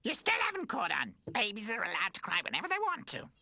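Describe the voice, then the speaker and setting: A man voicing a spoiled, bratty baby doll who taunts the other toys in a shrill singsong. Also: huge pitch swings, fast talker, vocal fry, pushed and bright, no room sound, clean studio sound, exaggerated voice. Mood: taunting.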